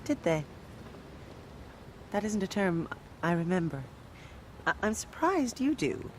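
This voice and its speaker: An actress playing a prim, guarded handmaid in an audio drama, speaking in a cool, formal, measured way. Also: female voice, mid-range voice, sing-song, slight background noise. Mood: cool, warning.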